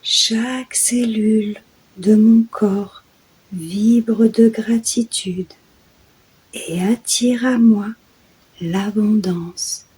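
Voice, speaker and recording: A woman leading a guided sleep meditation in a soft, soothing, hushed voice that often drops toward a whisper. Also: mid-range voice, fairly even intonation, extremely slow, slightly rough, low-quality recording. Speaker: guided meditation host and yoga nidra guide.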